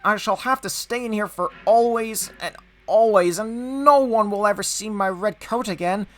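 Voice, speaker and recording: An earnest storybook steam engine, acted out by a man, proud and plaintive by turns. He pitches high and bright, pushing each phrase out emphatically. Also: moderate pace, exaggerated voice. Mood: miserable. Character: proud red steam engine, male, plaintive then determined.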